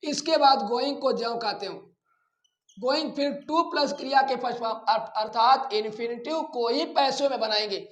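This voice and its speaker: A man teaching a grammar lesson, deliberately repeating phrases and addressing his students directly in an echoey, roomy recording. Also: very high-pitched. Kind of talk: classroom-style grammar lesson.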